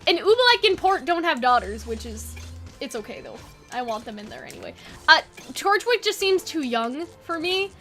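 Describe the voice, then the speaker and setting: Casting: woman host, high-pitched and bright, casual and animated, rambling off the cuff, playfully dismissive. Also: normal speed, soft background music. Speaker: video host. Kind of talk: casual brainstorming chat.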